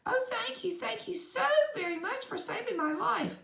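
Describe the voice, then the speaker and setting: A woman voicing a tiny, proud queen of the field mice in a squeaky, very high voice, in a storybook reading. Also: animated, normal speed, gravelly, bright, forceful tone, exaggerated voice. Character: tiny regal female mouse queen, proud. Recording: echoey room.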